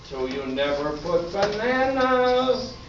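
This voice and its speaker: Banana mascot acted by a man giving a jingle, high voice, echoey stage radio play. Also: big pitch swings, takes it slowly, full character voice. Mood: perky. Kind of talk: sung advertising jingle in amateur radio play. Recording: echoey, distant, muffled room recording, faint noise.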